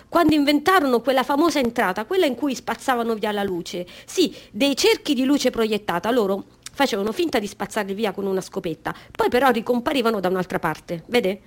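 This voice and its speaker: Radio play: actress as a proud circus woman seeking her chance, fairly high voice, insistent storyteller. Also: animated, brisk, close to an everyday voice. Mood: proud, reminiscing. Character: eager, proud circus-born woman pleading for an audition. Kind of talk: radio theatre monologue.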